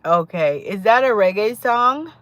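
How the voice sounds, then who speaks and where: A woman's voice: mid-range voice, animated, measured pace, fairly bright tone, dry recording. Chatty Jamaican woman live-streaming. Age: adult. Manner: chatty, greets viewers by name, laughs often. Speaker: livestream host. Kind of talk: casual live-stream chat with viewers.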